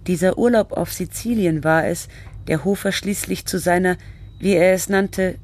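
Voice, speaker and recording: A woman's voice: on the low side, moderate pace, a little mellow, lightly acted. A woman playing a sober, literary narrator and researcher in scripted documentary-style radio drama. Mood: measured, factual.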